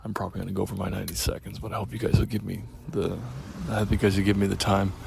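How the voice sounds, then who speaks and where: A man with a very deep voice calling in, speaking slowly and haltingly with heartfelt care. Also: level tone, gravelly, background noise. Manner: slow, measured, halting. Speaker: caller. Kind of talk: heartfelt call-in comment.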